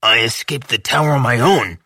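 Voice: medium pitch, a little fast, pushed and bright, dry recording, studio quality. A reader doing a guarded young warrior man. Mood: defensive. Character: hostile young man warrior, suspicious and confused. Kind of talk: audiobook character voice.

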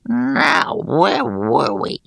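Cruel goblin warlord, fantasy audiobook. Gravelly, wheezy, slow and sneering, every phrase a threat. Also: male voice, medium pitch, big pitch swings, a bit bright, no echo, voice pushed up, heavily acted. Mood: sinister command. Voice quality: wheezing, rasping. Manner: menacing, mocking, drawn-out words. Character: cruel, sneering male warrior goblin leader, huge.

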